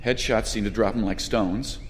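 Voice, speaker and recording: A man's voice: on the low side, steady tone, moderate pace, slight background noise, lightly acted. Man acting a determined survivor in a reverberant space. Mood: tense, matter-of-fact. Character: pragmatic, determined male zombie-outbreak survivor leader. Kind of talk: audio drama acting. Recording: reverberant hall, clear background ambience.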